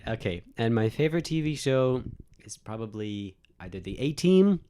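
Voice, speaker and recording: A man's voice: mid-range voice, animated, moderate pace, mellow. A nineteen-year-old man chatting casually on a podcast, rambling through nerdy trivia. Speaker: podcast co-host. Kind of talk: casual chat.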